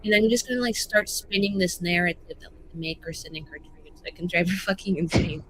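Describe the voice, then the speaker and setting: A woman's voice: fairly low pitch, a little fast, fairly bright tone, slight background noise, rough recording. A woman improvising casual in-character dialogue for a tabletop game, with a playfully snarky, conversational manner. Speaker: player in a tabletop roleplaying game.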